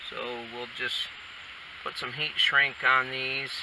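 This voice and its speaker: A man walks through a hands-on job in an informal, folksy way, thinking aloud as he works. His voice sits at a distance from the microphone. A clear hiss runs through the recording. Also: medium pitch, level tone, slow pace. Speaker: DIY installer demonstrating. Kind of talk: casual hands-on tutorial talk. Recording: hissy, noisy, distant camera mic.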